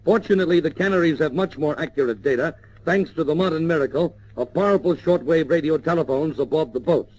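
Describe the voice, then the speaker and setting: A man's voice: a little high, fast, few pauses, darkish tone, rough recording. An American man reading scripted promotional narration in the style of the late 1950s.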